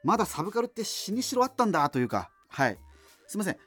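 An actor playing a self-deprecating, subculture-obsessed radio host, rambling through a monologue with apologetic asides in a radio drama. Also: male voice, high voice, sing-song, fast talker, fairly bright tone, faint music behind, full character voice. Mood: wry, rambling. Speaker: radio show host answering listener letters.

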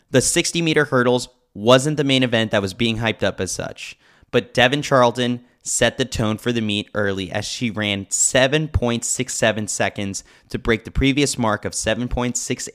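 A man's voice: fairly low pitch, a bit bright. A man reading a scripted sports newsletter with a lightly wry, joking manner. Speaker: newsletter reader, podcast host.

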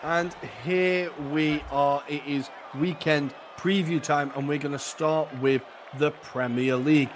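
A young man hosting a casual football podcast, chatty and jokey with lots of ums, his voice a little raspy. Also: on the high side, steady tone, unhurried, few pauses, noisy background. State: slightly raspy voice. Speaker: podcast host.

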